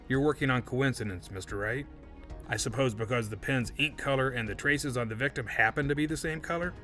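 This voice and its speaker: A man's voice: mid-range voice, a little fast, pushed and bright, soft background music, natural voice. A man playing a smug, evasive suspect on the witness stand in an audio drama, speaking in a formal, condescending, precise way.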